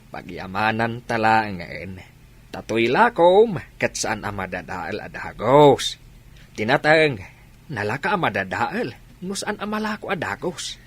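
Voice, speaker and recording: A man's voice: fairly low pitch, big pitch swings, unhurried, husky, full character voice. An actor playing an older father chatting about family matters in a drama. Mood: conversational, earnest.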